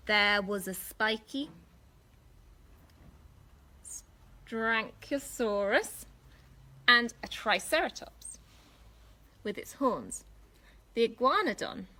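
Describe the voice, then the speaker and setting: A woman reading a storybook aloud for young children in an expressive, deliberate teacherly way. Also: on the high side. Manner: animated, deliberate, pausing to ask questions.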